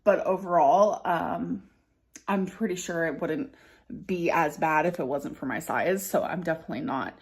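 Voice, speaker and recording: A woman's voice: on the low side, normal speed. Thirties woman vlogger, rambling, lots of fillers, casual. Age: 30s. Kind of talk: casual personal vlog talk.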